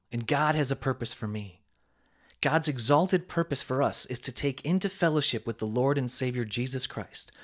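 A man reading a sermon aloud with a measured, emphatic preaching cadence. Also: medium pitch.

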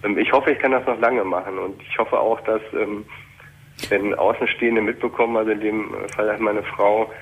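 A man's voice: lowish voice, steady tone, normal speed. A man calling in to a radio show, talking thoughtfully and hesitantly about his work as an emergency doctor, with many fillers. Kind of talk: phone-in conversation. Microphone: telephone line. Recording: dull lo-fi phone line with faint noise.

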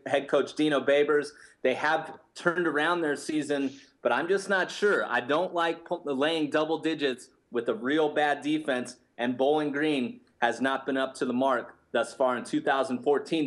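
A man in his thirties giving confident sports betting analysis in a studio, steady and packed with stats. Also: fairly high pitch. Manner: confident, steady, stat-heavy. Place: studio set, indoors.

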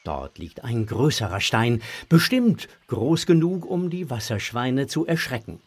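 A man's voice: mid-range voice, big pitch swings, measured pace, a little hiss or hum. A man narrating a children's audio drama from a script.

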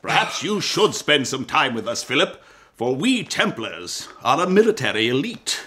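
An actor playing a commanding, zealous Templar marshal in a historical audio drama, with a theatrical, imperious manner. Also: male voice, mid-range voice, sing-song, normal speed, pushed and bright. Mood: amused, commanding. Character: commanding, zealous Templar marshal, sly recruiter of young men. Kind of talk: historical radio drama acting.

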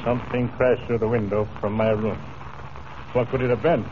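An actor playing a commanding, forthright cowboy ranch leader in a dramatic 1940s radio serial. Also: male voice, mid-range voice, level tone, moderate pace, natural voice. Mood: puzzled, stern. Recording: tinny, noisy 1940s radio broadcast.

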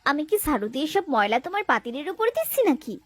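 A woman voicing a nagging, sharp-tongued village wife in a cartoon, scolding and sarcastic. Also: high voice, very animated, quick pace, dry recording. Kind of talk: Bengali cartoon voice acting.

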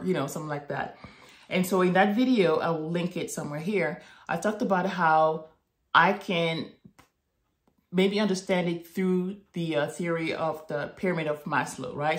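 A woman in her thirties from Morocco, talking thoughtfully to camera in a home office, often checking in with "right?" and "okay?". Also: low-pitched. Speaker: vlogger. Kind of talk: reflective casual monologue to camera. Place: home office with bookshelves, indoors. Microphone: webcam at desk distance. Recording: echoey room.

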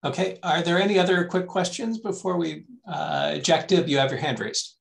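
A man's voice: on the high side, steady tone, normal speed, gravelly. A middle-aged man informally moderating an online scientific meeting over a video call.

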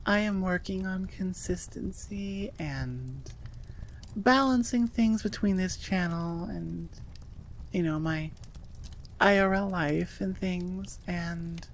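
A woman's voice: lowish voice, slight background noise. A woman audio creator talking casually and personally to her listeners, in an earnest, rambling way.

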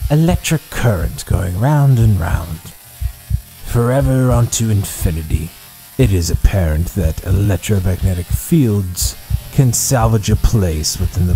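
A man's voice: fairly low pitch, dark tone. A man playing an obsessed, pompous mad scientist doctor in an audio horror drama, lecturing in florid style.

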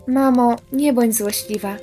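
A woman's voice: on the high side, fairly even intonation, unhurried, mellow, close to an everyday voice. A woman playing a patient, defensive married daughter in an amateur radio play, earnest and reproving. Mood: earnest reproof. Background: music.

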